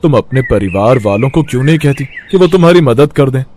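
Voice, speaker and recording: A kindly working man from a cartoon moral story, played by a male actor. He speaks in a lively, swinging mid-range voice, friendly and full of advice. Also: mid-range voice, a little fast, a little hiss or hum, natural voice. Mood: kindly, concerned.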